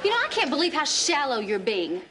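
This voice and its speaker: A woman's voice: fairly high pitch, lively intonation, normal speed, a loud crowd, heavy background noise, natural voice. An actress playing a proud, sardonic sitcom woman with a Southern US drawl and folksy sarcasm. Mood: indignant. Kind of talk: multi-camera sitcom acting.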